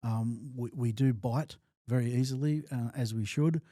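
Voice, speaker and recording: A man's voice: mid-range voice, fairly even intonation, moderate pace, mellow, no echo, clean studio sound. A man on a casual sports podcast, reasoning aloud and often restarting his words. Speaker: podcast co-host.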